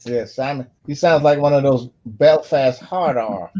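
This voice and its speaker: A man's voice: mid-range voice, a little slow, a little mellow. A man with an American accent joking in a folksy way during a casual online group chat. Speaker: chat participant. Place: remote online call. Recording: dull online call audio.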